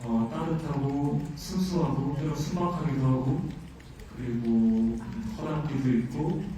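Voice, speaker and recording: A male actor answering questions at a press conference in a calm, measured way with thoughtful pauses. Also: medium pitch, slight background noise. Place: hotel ballroom. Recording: echoey ballroom, distant mic.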